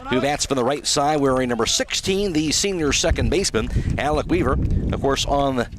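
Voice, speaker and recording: A man's voice: medium pitch, lively intonation, brisk, background noise. A man calling a live baseball game play-by-play as a radio announcer. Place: outdoor ballpark radio broadcast.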